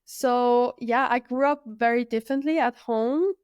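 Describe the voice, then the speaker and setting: A woman in her early thirties from Switzerland, a freediver guest speaking in a relaxed, thoughtful way with many fillers. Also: on the high side, measured pace, smooth, no echo, clean studio sound. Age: 30s. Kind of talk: casual conversational interview.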